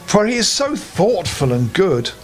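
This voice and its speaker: A man's voice: high-pitched, very animated, a little slow, soft background music. A man voicing a devoted, determined young woman in a children's fairy tale, earnest and resolute. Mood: tender.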